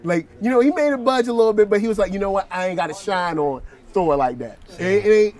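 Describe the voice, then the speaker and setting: Male host, high voice, hyped, slangy, quick to laugh. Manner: excited, slangy, laughs often. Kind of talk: casual panel discussion.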